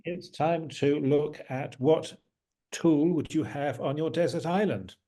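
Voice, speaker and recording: A man hosting a casual garden chat on a video call, relaxed and murmuring agreement. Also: fairly high pitch, unhurried. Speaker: host.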